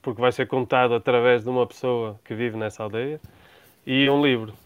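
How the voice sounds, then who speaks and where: A man's voice: mid-range voice, steady tone, brisk, clear, resonant tone, dry recording, muffled recording. A man in his thirties from Portugal with a Portuguese accent, a guest answering interview questions thoughtfully, often restarting his words. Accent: European Portuguese. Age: 30s. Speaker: guest, traveler. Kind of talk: conversational interview.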